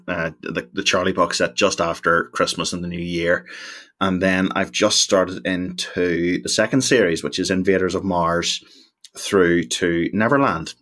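Deep-voiced male podcast co-host. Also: a bit bright. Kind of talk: casual chat.

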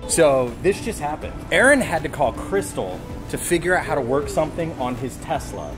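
Man, American, animated intonation, casual teasing chat, big store, loud music behind. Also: fairly high pitch, moderate pace. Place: large supermarket.

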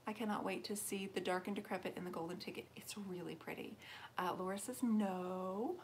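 Brief: woman, 40s, mid-pitched, chatty and rambling, live-stream DIY host reading comments aloud, faint hiss behind. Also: fairly even intonation, a little fast. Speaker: furniture painter hosting a live stream. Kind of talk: casual live-stream tutorial chat. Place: home workshop, indoors. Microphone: camera mic, moves toward and away from it.

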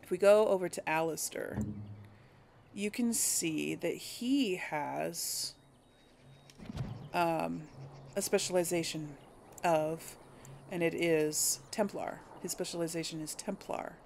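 A woman's voice: on the low side, slow pace, vocal fry, long pauses, a little mellow. A woman doing casual game commentary, thinking aloud in a tentative way. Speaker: gaming video host and player. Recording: some background noise.

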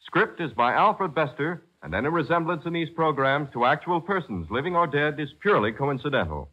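A man's voice: on the high side, sing-song, a little fast, breathy edge. An American man delivering scripted announcements in the style of 1940s radio. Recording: muffled old radio recording with music.

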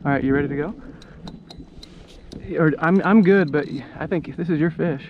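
A man talks excitedly as he fishes from a kayak on open water, coaching his partner with quick restarts. His voice sits a bit high. Wind and waves hiss loudly over the action camera mic. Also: phone-quality sound. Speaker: kayak fishing vlogger. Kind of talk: casual chat while fishing. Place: on a kayak at sea, outdoors.

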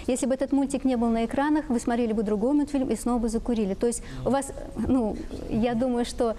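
A woman's voice: a little high, steady tone, fast talker, dark tone. A middle-aged woman speaking as an expert psychologist in a studio discussion, explaining things in a didactic way. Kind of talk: panel discussion in a talk show. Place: TV studio with seated audience.

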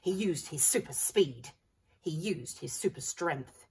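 A middle-aged woman reading a children's story aloud in a dramatic, expressive way. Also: sits low, unhurried, slight background noise. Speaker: primary school staff member reading aloud. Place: small school library corner indoors. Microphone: camera a few feet away. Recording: slightly distant room camera microphone.

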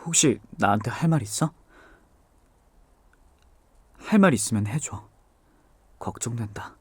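A young man voicing a gentle, devoted boyfriend in an ASMR audio drama, speaking softly and tenderly. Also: medium pitch, lively intonation, a little slow, husky, no echo. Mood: gentle, caring. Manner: soft-spoken, hushed and tender. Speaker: voice actor.

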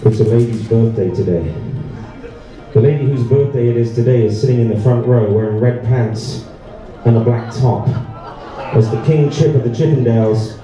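A middle-aged man, a stage hypnotist, giving loud commands to volunteers in a theater show in a hyped, sing-song way. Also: mid-range voice, normal speed, faint music behind. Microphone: handheld mic. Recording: echoey theater audio from far off.

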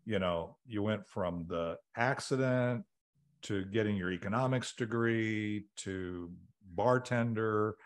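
A man's voice: on the low side, slow. A middle-aged man hosting an interview podcast over a video call, rambling and restarting his sentences often. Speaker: podcast host. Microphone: video call webcam.

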